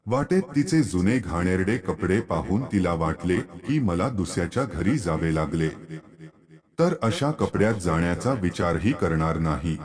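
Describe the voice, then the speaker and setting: Deep-voiced man narrating a story, lively intonation. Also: measured pace.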